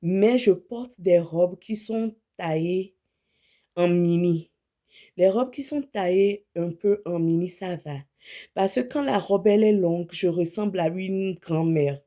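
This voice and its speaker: A woman's voice: fairly low pitch, unhurried, dark tone. A woman in her thirties, an image consultant, speaking casually and frankly to camera about what to wear, often correcting herself mid-sentence. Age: 30s.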